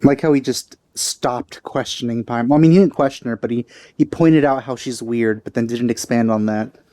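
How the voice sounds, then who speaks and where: A man's voice: mid-range voice, moderate pace, mellow, no room sound. A young man giving casual let's play commentary in a musing, wry, conversational manner, recorded on a close mic. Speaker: let's player.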